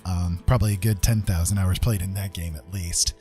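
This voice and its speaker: Low-voiced man chatting on a livestream. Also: steady tone, brisk, mellow. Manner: rambling, hesitant, chatty with viewers. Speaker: livestreamer and digital artist. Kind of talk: casual livestream chat. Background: music playing.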